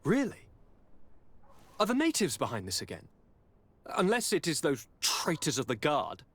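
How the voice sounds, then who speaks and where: An actor playing a self-satisfied young aristocratic governor in a game, with florid, courtly, theatrical delivery. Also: male voice, fairly high pitch, huge pitch swings, normal speed, fairly bright tone, breathy edge, no echo, full character voice. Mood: suspicious. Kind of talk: game cutscene acting.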